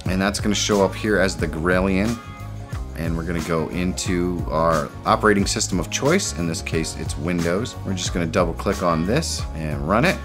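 Man, middle-aged, lowish voice, methodical tutorial host, faint background music. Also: normal speed. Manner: plain, methodical walkthrough. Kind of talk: step-by-step software tutorial. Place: home studio room.

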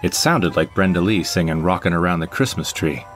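A man's voice: low-pitched, a little fast, soft background music. A man reading first-person scripted narration for an audio drama.

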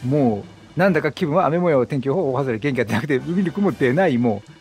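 A man's voice: mid-range voice, animated, fast talker, a little mellow, pitched below the performer's own voice, lightly acted. Man as talking cow, video game, mooing verbal tic, faint music. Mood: gloomy. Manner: ends phrases with a moo.

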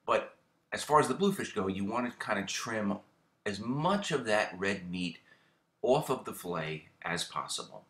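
A middle-aged man hosting a fishing channel, explaining a how-to in a relaxed, casual way with lots of ums and repeated words. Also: on the low side, normal speed, echoey room.

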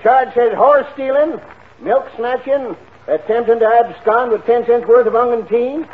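Backwoods sheriff, high and officious, vintage radio comedy, thin tinny sound. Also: male voice, a little slow. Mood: brisk, officious. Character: rustic hillbilly sheriff reading out charges. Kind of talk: 1940s radio comedy acting. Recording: tinny, band-limited 1940 radio recording.

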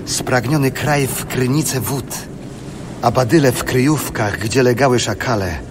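A man's voice: mid-range voice, a little slow, background noise. A man giving a solemn, dramatic narration of scripture for an audio production.

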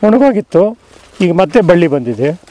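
An older man showing visitors around his dry farm orchard outdoors, talking slowly with many pauses and restarts. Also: high-pitched, sing-song, dark tone. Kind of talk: casual farm walk-through. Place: outdoors on a dry rural farm among trees.

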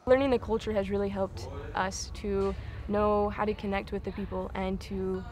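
A young woman from Utah, a missionary, speaking with bright enthusiasm in an outdoor interview. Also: medium pitch, level tone, normal speed. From Utah, USA. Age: young adult.

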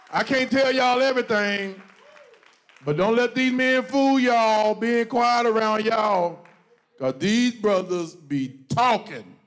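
A middle-aged man, a pastor talking to his congregation from a church stage into a handheld mic. He jokes and preaches in a lively way, often breaking into loud, emphatic exclamations. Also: high-pitched. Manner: animated, joking, bursts into loud emphatic exclamations. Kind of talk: preachy conversational talk to a congregation.

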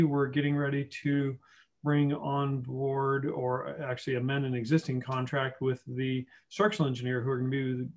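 A man on city staff giving council a methodical project update, with frequent uh fillers. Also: mid-range voice. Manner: steady, methodical, with many uh fillers.

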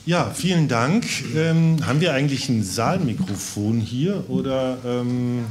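A man's voice: mid-range voice, animated, unhurried, darkish tone. A man in his forties moderating a panel informally and with light humour, handing out turns to the audience. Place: conference room at a panel table. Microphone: table microphone. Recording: clear background room noise.

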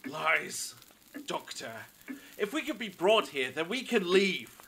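An actor in an audio drama playing a hostile, suspicious captor who is contemptuous and threatening. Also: male voice, high voice, big pitch swings, slow, vocal fry, pushed and bright, slight background noise, heavily acted. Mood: cold suspicion. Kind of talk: fan audio drama acting.

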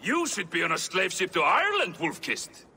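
Nasty viking villain, super sharp gravelly voice, sneering, taunting. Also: male voice, sits high, huge pitch swings, moderate pace, heavily acted. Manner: taunting, contemptuous. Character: sneering, vicious Viking enemy warrior, male. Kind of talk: dramatic game villain acting. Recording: hall reverb, faint ambient noise.

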